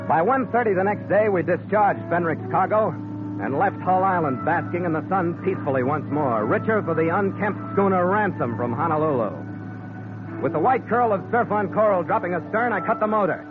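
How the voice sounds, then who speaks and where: A man's voice: sits high, expressive, normal speed. Man, sea captain narrator, wry and dry, old radio drama, phone-thin sound, music behind. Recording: tinny old radio recording.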